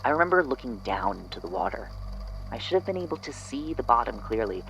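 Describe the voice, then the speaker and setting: A man's voice: mid-range voice, very animated, quick pace, gravelly, a bit bright, faint music behind, rough recording. A man acting as an unsettled narrator in an audio drama, hesitantly and reflectively recounting an eerie memory. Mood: uneasy wonder. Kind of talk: audio drama statement, hesitant first-person storytelling.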